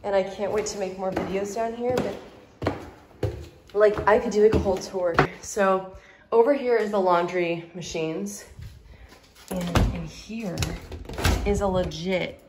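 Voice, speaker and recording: A bubbly young woman walks through an empty house, chatting casually as she tours each room. Her voice sits at an ordinary middle pitch. It is picked up on a phone held at arm's length, with a faint hiss underneath. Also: steady tone, unhurried, long pauses. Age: young adult. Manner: chatty, bubbly, heavy use of "like". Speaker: vlogger. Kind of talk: casual house-tour vlog.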